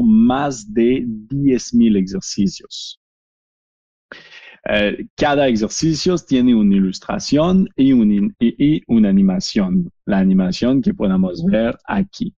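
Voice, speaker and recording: A French Canadian man speaking Spanish as a second language, with a Québécois French accent, presenting a software webinar in a halting way with many fillers. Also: mid-range voice, dry recording, highs cut off. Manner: halting, frequent "eh" fillers and restarts. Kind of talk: product demo webinar.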